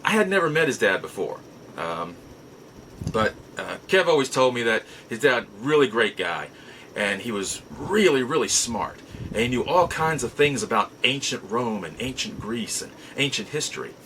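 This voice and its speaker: A man telling a personal story in a casual, halting way, as host of a nostalgia show. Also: medium pitch, very animated, fairly bright tone, slight background noise, rough recording. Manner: halting, repeats and restarts words as he goes.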